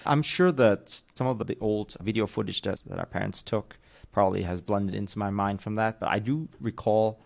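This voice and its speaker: A man in his thirties from the United States talks on a podcast in a measured, reflective way, often stopping to rephrase himself. His voice sits fairly low, with a noticeable gravelly fry running through it. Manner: measured, thoughtful storytelling with careful rephrasing. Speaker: podcast co-host.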